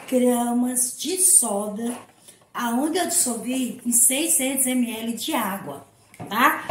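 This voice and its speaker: A woman from Brazil hosting a home soap-making tutorial, hoarse from a cold, talking in a chatty, affectionate way. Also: a little high, a little hiss or hum. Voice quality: husky. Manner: chatty, affectionate, repeats "tá, gente?". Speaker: soap-making channel host. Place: home kitchen counter. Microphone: phone camera on the table.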